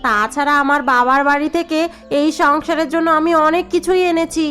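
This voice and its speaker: A woman's voice: high-pitched, brisk, a bit bright, soft background music. A woman voicing a scheming elder daughter-in-law in a cartoon story, sounding confident and conniving. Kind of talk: cartoon voice acting.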